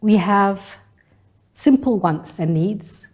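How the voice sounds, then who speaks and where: A woman delivering a scripted spoken-word monologue in measured, deliberate phrases. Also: medium pitch, expressive, a little mellow. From Malaysia. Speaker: speaker delivering a personal monologue.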